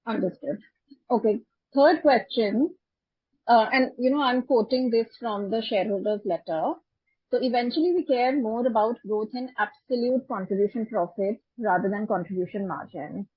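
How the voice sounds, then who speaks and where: A woman's voice: a little high, moderate pace, breathy edge, no room sound. Woman, probing analyst, direct questioning style, dry and lo-fi conference line. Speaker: analyst asking questions on a call. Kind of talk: questioning, partly quoting a document. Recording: dull, lo-fi phone conference audio.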